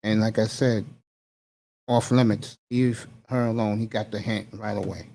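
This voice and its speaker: A man hosting a casual conversation, speaking earnestly and encouragingly with many pauses and fillers. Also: on the low side, steady tone, gravelly. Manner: warm, encouraging, hesitant fillers. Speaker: host.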